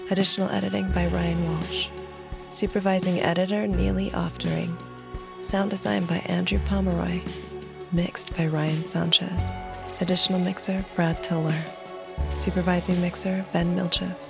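A woman reading end credits in an even, measured way. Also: fairly low pitch, creaky, music in the background. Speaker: credits announcer.

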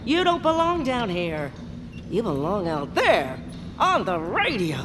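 Blustery, swooping little creature boss. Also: female voice, mid-range voice, huge pitch swings, a little slow, background noise. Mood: rousing. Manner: blustery, folksy and speechifying. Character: gruff, weary underground peepkin boss, small fantasy creature. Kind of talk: scripted comic audio drama acting.

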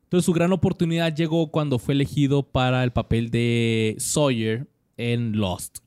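A man's voice: mid-range voice, fairly even intonation, normal speed, darkish tone, clear, resonant tone, no room sound, studio quality. A man co-hosting a podcast, reading out actor bios with lots of slang and jokes. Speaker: podcast co-host. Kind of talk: casual podcast chat.

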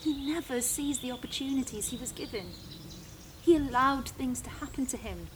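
A woman's voice: high-pitched, moderate pace, fairly bright tone. A woman playing an anxious, bitter sister in an audio drama, speaking in an emphatic, exasperated manner. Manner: emphatic, exasperated, repeating herself. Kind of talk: naturalistic audio drama acting. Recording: clear outdoor bird noise. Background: birdsong, outdoor ambience.

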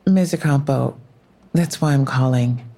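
Female police officer for audio drama: very low-pitched, mellow, measured and formal, sympathetic yet composed. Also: exaggerated voice. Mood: solemn, gentle. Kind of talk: full-cast audio drama acting.

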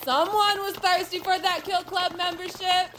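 A woman's voice: very high voice, steady tone, normal speed. An actress playing a frantic, wisecracking young woman battling monsters in an audio drama. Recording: loud music and effects underneath.

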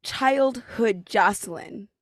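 A woman's voice: fairly high pitch, slow, no echo. Effusive woman hosting, dry sound. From California, US. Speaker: podcast host. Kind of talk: casual chat interview.

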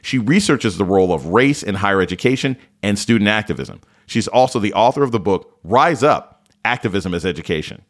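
A man hosting a radio interview, reading a scripted introduction and asking measured, calm questions. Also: fairly low pitch. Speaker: radio host.

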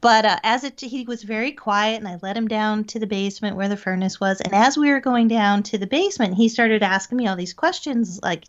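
A woman's voice: medium pitch, a little fast, few pauses. A woman speaking as an interview guest, recounting her experiences in a conversational storytelling manner. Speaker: guest, paranormal investigator. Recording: dull lo-fi call audio.

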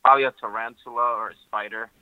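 A man's voice: fairly low pitch, steady tone, fast, no room sound. A man calling in to a show by telephone, chatting in a slangy, somewhat hesitant way. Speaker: phone-in caller. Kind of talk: casual chat. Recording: tinny narrow phone line.

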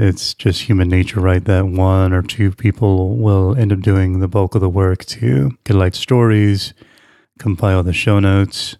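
A man's voice: deep voice, dark tone, dry recording, clean studio sound. A man hosting a podcast interview in a conversational style.

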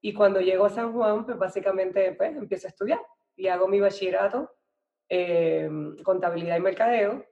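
A woman's voice: medium pitch, moderate pace. Chatty Puerto Rican woman, slightly dull recording. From Puerto Rico. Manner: chatty, peppers speech with English business terms. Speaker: podcast guest, real estate and banking professional. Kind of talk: conversational personal storytelling.

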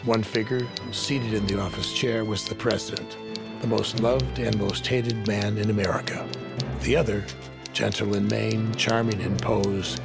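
A man playing a cynical 1910s newspaper correspondent in an audio drama, dry, deadpan and sardonic. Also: on the low side, gravelly. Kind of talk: audio fiction acting, florid report narration. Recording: prominent music behind the voice.

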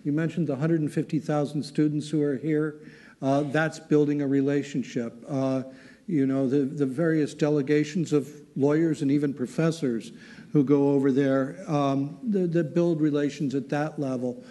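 A man on a panel answers a question with a mellow, slightly high voice. He thinks aloud as he talks, filling the gaps with "you know" and "uh.". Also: steady tone, moderate pace. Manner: thinking aloud, many fillers. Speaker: panel expert.

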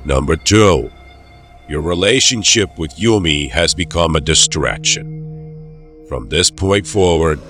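A stern doctor in an audio drama, really deep voice, measured and quietly threatening, bit of music under it. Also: male voice, full character voice. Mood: stern, commanding. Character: cold, controlling male doctor giving orders.

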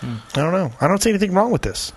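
A man's voice: high voice, lively intonation, very fast, a little hiss or hum. A man hosting a podcast, chatting casually with the group. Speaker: podcast host.

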